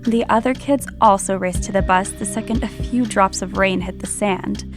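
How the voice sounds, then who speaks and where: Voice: mid-range voice, steady tone, moderate pace, over music, close to an everyday voice. An actor voicing a ghoulish monster storyteller who narrates a horror podcast with sinister relish. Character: ghoulish monster storyteller savoring children's fright. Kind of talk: theatrical scripted horror narration.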